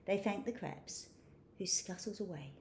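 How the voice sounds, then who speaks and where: Lady doing storytime for little kids, mellow voice, takes her time, faint noise in the background. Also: medium pitch. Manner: slow, expressive, simple phrasing for children. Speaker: children's story reader. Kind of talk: read-aloud storybook narration.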